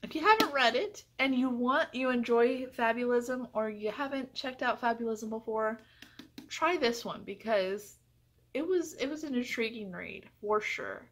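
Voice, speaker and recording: A woman's voice: fairly high pitch, animated, unhurried, fairly bright tone. A woman giving a casual book review to viewers in an earnest, chatty, emphatic way.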